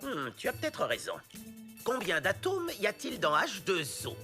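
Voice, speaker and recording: Wildly animated cartoon schoolteacher. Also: male voice, high voice, moderate pace, music in the background, heavily acted. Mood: fair, announcing. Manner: theatrical, emphatic. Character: excitable, easily swayed adult male schoolteacher. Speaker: teacher and quizmaster. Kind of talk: French animated cartoon acting.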